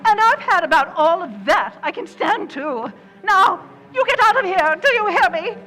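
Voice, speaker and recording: A woman's voice: very high voice, lively intonation, normal speed, pushed and bright, in a large hall, faint music behind, heavily acted, low-quality recording. A woman playing a haughty, temperamental movie star in an old-time radio drama, with theatrical delivery. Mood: angry, scornful. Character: imperious, temperamental female movie star, married and grand.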